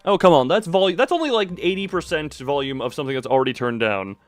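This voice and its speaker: Man chatting with animated, swinging pitch. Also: quick pace, dry recording, soft background music. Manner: tangent-prone, jokey, talks back to chat. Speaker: livestream host, streamer. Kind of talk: rambling casual stream chat.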